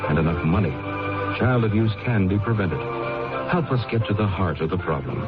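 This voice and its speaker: Male radio announcer over loud music. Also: deep voice, expressive, normal speed. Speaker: public service announcer. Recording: muffled old radio, loud music bed.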